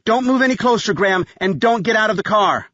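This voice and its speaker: A male narrator plays a tough armed woman in a thriller audiobook. The voice is raised in pitch, terse and urgent, firing off clipped questions and orders in a dry recording. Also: big pitch swings, measured pace, a bit bright, breathy edge, dry recording, muffled recording, heavily acted. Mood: commanding.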